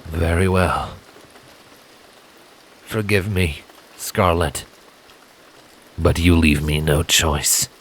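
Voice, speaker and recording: A man voicing a stern, confident male pegasus assassin in an audio story, speaking formally and deliberately. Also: low-pitched, steady tone, a bit bright, a little hiss or hum, exaggerated voice, rough recording. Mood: grave regret. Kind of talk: fan fiction audio story acting.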